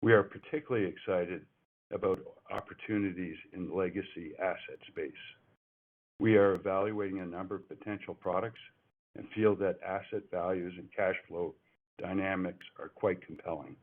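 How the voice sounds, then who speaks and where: Low-voiced male executive, scripted earnings remarks with stumbles and restarts, thin phone-line sound. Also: fairly even intonation, moderate pace. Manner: reads from a script with frequent restarts and self-corrections. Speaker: company executive. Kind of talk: prepared earnings call remarks. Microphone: telephone. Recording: phone-line conference call audio.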